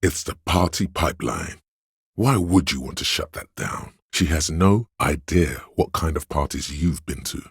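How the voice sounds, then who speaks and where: The seductive inner voice of craving in a video game, extremely deep and thick with gravel. He drawls and insinuates like a tempter, husky and rough-edged, always goading toward pleasure. The sound is close and dry. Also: expressive, unhurried, no echo, full character voice. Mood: sultry. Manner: sensual, drawling, insinuating, savouring words. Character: hedonistic inner voice of addiction and lust, seductive and goading. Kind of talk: video game character voice-over.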